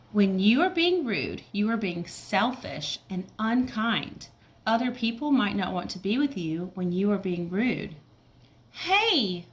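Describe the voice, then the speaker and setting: A woman teacher reading a picture book aloud to young children, with simple explanations and check-in questions. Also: medium pitch.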